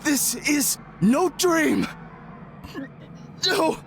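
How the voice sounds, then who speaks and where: Tormented soul, extremely slow. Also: male voice, very high-pitched, expressive, vocal fry, a bit bright, slight background noise, full character voice. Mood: bitter denial. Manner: halting, bitter, brittle. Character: tormented, delusional man trapped in a nightmare. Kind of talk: video game voice acting.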